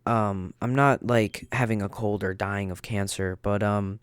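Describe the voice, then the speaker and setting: A man's voice: fairly low pitch, steady tone, unhurried, clean tone, dry recording, clean studio sound. A man with a stuffy, congested nose from being sick, ranting casually and with lots of fillers as a YouTuber. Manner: rambling, opinionated, many fillers.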